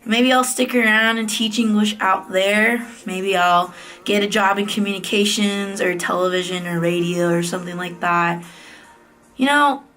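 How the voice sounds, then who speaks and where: A woman's voice: mid-range voice, fairly even intonation, normal speed, a bit bright, soft background music, low-quality recording. A woman in her late twenties from the United States, giving a casual, candid vlog update in a chatty way, with fillers and self-corrections. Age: late 20s. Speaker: vlogger. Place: office private room at night.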